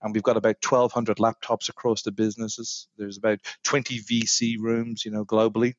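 A middle-aged Irish man, a technology executive, answering podcast interview questions in a measured way with frequent fillers. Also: fairly low pitch, level tone, no room sound, dull audio. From Ireland.

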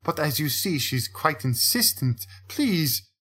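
A woman's voice: deep voice, sing-song, slow pace, fairly bright tone, slightly rough, heavily acted. A woman reading a story and voicing a frightened, fussy elderly man who pleads and stammers. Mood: pleading.

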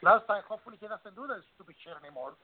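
A man's voice: high voice, animated, rapid-fire, slightly rough. A man making fight picks in casual chat, emphatic and blunt, swearing casually. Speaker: panelist. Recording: tinny phone-line audio.

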